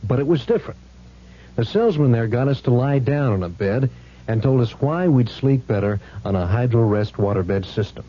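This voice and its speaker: A man's voice: medium pitch, warm tone, husky. A man giving a chatty, conversational testimonial in a 1970s radio advertisement. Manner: chatty, anecdotal. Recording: dull lo-fi 1970s radio recording.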